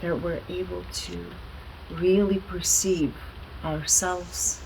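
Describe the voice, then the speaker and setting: A woman speaking earnestly and deliberately as an interview guest, explaining her work with emphatic stresses. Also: lowish voice, darkish tone, slight background noise, poor audio. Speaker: guest, alternative wellness practitioner.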